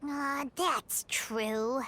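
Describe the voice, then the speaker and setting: A woman voicing a small, childlike, excitable fairy companion in a video game, squeaky and blunt. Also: extremely slow, slight background noise, heavily acted. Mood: scheming. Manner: blunt, exclamatory, refers to self by name.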